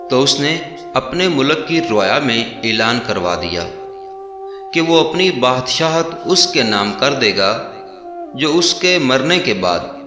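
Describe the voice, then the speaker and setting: Echoey male storyteller, unhurried delivery. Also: mid-range voice. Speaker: storyteller and channel host. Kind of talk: moral storytelling narration with religious reflection. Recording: echoey voice over background music. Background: music.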